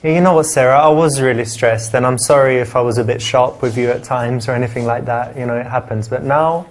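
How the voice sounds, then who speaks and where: A man's voice: medium pitch, fairly even intonation, a little fast, lightly acted. A man acting as a friendly, flirtatious character in casual, slightly hesitant conversation for a live-action game. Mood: gentle, hesitant.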